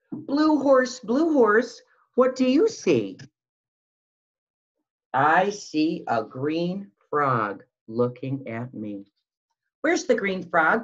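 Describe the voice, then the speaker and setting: A woman's voice: mid-range voice, fairly bright tone. A middle-aged woman reading a picture book aloud to young children, sing-song and full of questions, on a webcam microphone at home. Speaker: school behavior specialist reading to young children. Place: small room at home, indoors. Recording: dull lo-fi webcam sound.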